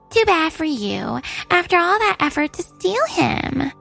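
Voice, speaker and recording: Woman as smug young mistress, spiteful, very high voice, swooping delivery, manga dub acting. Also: sing-song, slow pace, creaky, fairly bright tone, soft background music, heavily acted. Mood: gloating, giddy. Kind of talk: dubbed manga drama acting.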